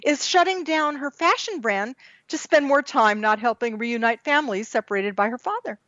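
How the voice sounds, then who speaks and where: A high-voiced woman hosting a casual political podcast. She reads headlines aloud, laughs often and grows indignant quickly. The sound is dry and a little muffled. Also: animated, a bit bright, dry recording. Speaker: podcast host.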